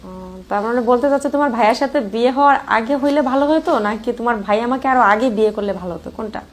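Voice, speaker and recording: A woman's voice: on the high side, racing, close to an everyday voice. A woman with a Bangladeshi accent playing a flirtatious, lonely married sister-in-law in an amateur romantic phone-call audio drama. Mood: teasing, wistful. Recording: clear background noise.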